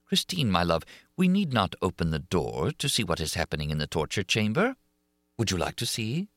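A man's voice: low voice, huge pitch swings, normal speed, fairly bright tone, dry recording, studio quality, exaggerated voice. A man voicing a menacing, jealous male monster who is angry and mocking.

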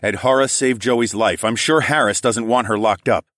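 A man's voice: lowish voice, normal speed, a bit bright, no echo, studio quality, close to an everyday voice. A man voicing a firm, protective, sardonic male group leader in an audiobook. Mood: indignant.

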